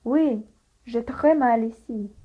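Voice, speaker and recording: A woman's voice: a little high, takes it slowly. A woman carefully reading a learner dialogue, taking both the patient and the doctor parts. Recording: tinny phone-like sound.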